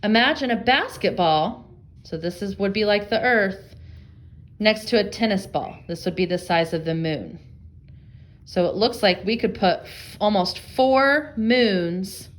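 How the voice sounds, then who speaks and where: A woman's voice: mid-range voice, expressive, slow pace. Woman teaching young children through a read-aloud. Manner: addresses young children directly, thinking aloud with guiding questions. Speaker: elementary school reading teacher.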